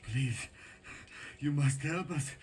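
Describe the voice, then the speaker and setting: An actor playing a distressed, pleading villager in a video game cutscene. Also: male voice, fairly high pitch, slow pace. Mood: pleading, shaken. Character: distressed, pleading male villager who escaped captors.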